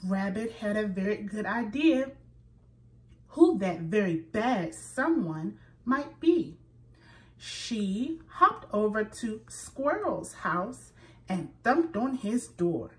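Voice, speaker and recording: A woman reads a picture book aloud to small children, taking her time over every phrase. Her pitch sits in an ordinary middle range. She speaks clearly and with expression, and sounds out letters as she goes. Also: sing-song, slow pace, low-quality recording. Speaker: children's story reader.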